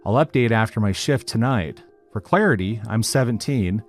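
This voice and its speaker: Low-voiced man narrating a story. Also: animated, moderate pace. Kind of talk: scripted narration of a reddit post. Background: faint background music.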